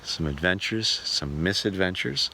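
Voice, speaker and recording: A man who entertains as a musician, talking in an animated, folksy storytelling way in a casual travel vlog. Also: deep voice, a little slow, vocal fry, heavy background noise. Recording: roomy echo, prominent acoustic guitar music.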